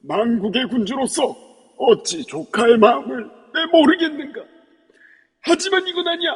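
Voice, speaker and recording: A man's voice: very high-pitched, moderate pace, husky, exaggerated voice. A man voicing the spirit of a dead emperor who has taken over a living man, speaking in stern, archaic royal speech. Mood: gentle, persuading. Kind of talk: Korean fan audio drama acting. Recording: echoey long reverb.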